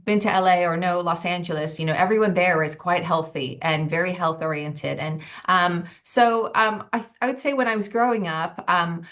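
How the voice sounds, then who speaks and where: A woman's voice: lowish voice, normal speed, hardly any pauses, fairly bright tone. A woman in her forties talking as a nutritionist guest in a casual interview, thoughtful with frequent ums and restarts. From United States.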